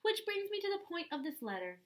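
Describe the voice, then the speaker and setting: A woman reading a picture book aloud to young children in an expressive, deliberate way, like a teacher at storytime. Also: extremely high pitch.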